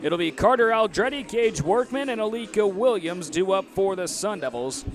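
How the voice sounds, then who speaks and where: A young man with an American accent calls a baseball game live. His voice sits somewhat high. He speaks into a headset mic as a play-by-play announcer. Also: lively intonation, normal speed. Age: young adult. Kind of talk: live sports commentary.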